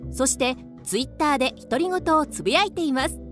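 Woman, announcer, very high pitch, scripted promo read, music behind. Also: animated, moderate pace, fairly bright tone.

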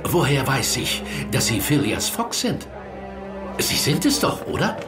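A man's voice: medium pitch, big pitch swings, measured pace. A man playing a shifty pub go-between in a German audio drama, hesitant, evasive and clipped. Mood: furtive. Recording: music behind the voice.

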